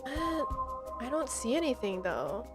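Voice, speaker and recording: A woman's voice: high-pitched, sing-song, unhurried. A woman game streamer playing a horror game live, reading text aloud and reacting in a dramatic, slangy way. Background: game music.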